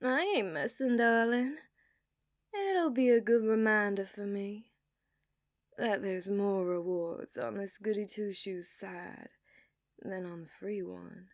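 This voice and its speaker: Slow, drawling cowgirl outlaw, played by a woman. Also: medium pitch, dry recording, clean studio sound. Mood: flirtatious. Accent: put-on Southern US. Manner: teasing, drawling, slips into soft whispers. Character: obsessive, dominant female outlaw cowgirl. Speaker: ASMR performer. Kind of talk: ASMR roleplay.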